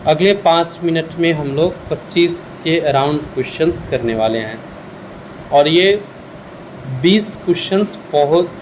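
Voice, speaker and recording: Male Indian teacher, slightly high voice, instructional question-and-answer delivery for exam revision, faint hum behind. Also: measured pace, poor audio. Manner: instructional, poses questions then answers them. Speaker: history teacher.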